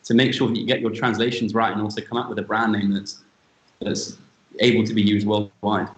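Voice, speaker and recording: Low-voiced man in an echoey room. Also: little pitch movement, fairly quick. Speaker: panelist. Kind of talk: online panel discussion.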